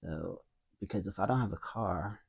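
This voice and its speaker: Quiet, mumbling man in his fifties. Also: deep voice, normal speed, vocal fry, husky, narrow, tinny audio. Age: 50s. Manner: rambling, with many restarts. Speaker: vlogger. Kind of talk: casual talking to camera. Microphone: wireless mic into a phone.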